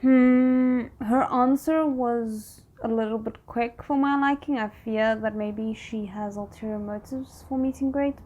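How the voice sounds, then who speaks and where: Higher-pitched woman giving casual reaction commentary. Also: steady tone, normal speed, few pauses, darkish tone, lo-fi sound, a little hiss or hum. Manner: thinks aloud, often addressing the characters directly. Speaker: reaction video host.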